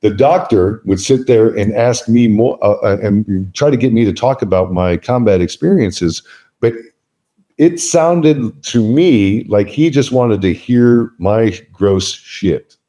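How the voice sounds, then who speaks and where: Low mellow-voiced blunt man. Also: animated, slow pace. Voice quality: loud voice. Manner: emphatic, blunt, swears freely. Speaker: podcast guest, veteran business leader. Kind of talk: casual interview talk.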